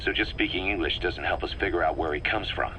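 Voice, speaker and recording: Give this to a bitter, suspicious military commander from a dramatic game. His voice is fairly low with a sharp, forceful edge, and he talks tersely and accusingly, over a slightly noisy tape recording. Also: fast talker. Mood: matter-of-fact, frustrated. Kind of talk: dramatic video game voice acting.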